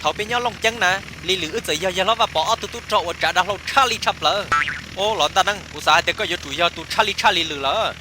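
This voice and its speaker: A man's voice: sits high, a little fast, background noise. A man performing casual, chatty comic dialogue for a cartoon.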